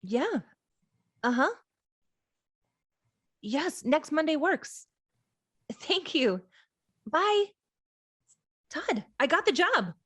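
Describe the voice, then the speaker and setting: Woman as eager, higher-voiced young job seeker. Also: very animated, extremely slow, pauses often, fairly bright tone, no echo, heavily acted. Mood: polite, excited. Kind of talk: table read of a film script.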